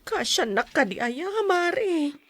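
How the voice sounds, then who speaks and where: A woman's voice: high-pitched, sing-song, takes it slowly, a bit bright, dry recording, heavily acted. A woman acting a hard-pressed debtor in a radio drama, meek and pleading.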